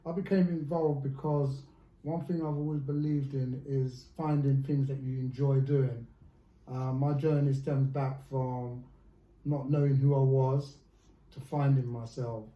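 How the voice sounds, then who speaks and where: A man's voice: medium pitch, level tone, a little slow, roomy sound, dull audio. A man leading a friendly coaching session, upbeat and joking about himself. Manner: upbeat, joking and self-mocking. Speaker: life coach.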